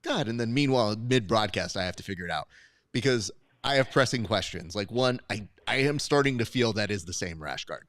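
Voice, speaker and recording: A man's voice: lowish voice, lively intonation, brisk, a bit bright, no room sound. Guy hosting a chat show over a call, jokey and rambly, dead dry mic. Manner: joking, teasing, rambling. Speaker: show host. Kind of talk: casual post-show chat.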